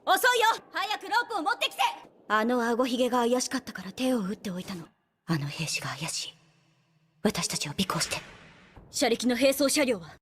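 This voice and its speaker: An actress playing a shrewd, languid young woman soldier in anime, calm and teasing, with a soft, unhurried, analytical way of speaking. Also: on the high side, slight background noise. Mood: urgent. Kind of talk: Japanese anime voice acting. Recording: dialogue over background music.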